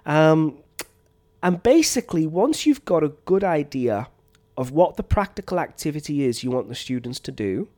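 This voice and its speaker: A man talks teachers through classroom activities in a relaxed, unscripted way. He fills pauses with ums and restarts his phrases, and a slight cough lingers from a chest infection. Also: fairly high pitch. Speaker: science teacher. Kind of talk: teacher training talk.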